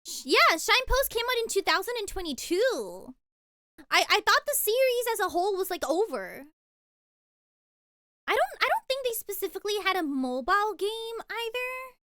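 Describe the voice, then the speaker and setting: Bubbly high-voiced young woman streamer. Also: big pitch swings, moderate pace, bright, forceful tone, no echo, clean studio sound. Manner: bubbly, gushing, frequent giggles and repeated words. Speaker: VTuber streamer. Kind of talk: casual live-stream chat, reacting and reading chat.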